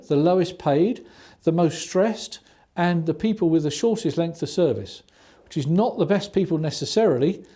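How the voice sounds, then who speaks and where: Middle-aged guy lecturing on a course, warm soft tone, steady and explainy, goes point by point. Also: fairly high pitch. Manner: measured, explanatory, lists points one by one. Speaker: IT service management trainer. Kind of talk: recorded training lecture.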